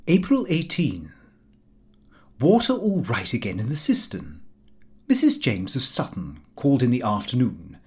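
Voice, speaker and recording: A man reading a comic diary novel as an audiobook, with fussy, indignant storytelling. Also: medium pitch. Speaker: audiobook reader.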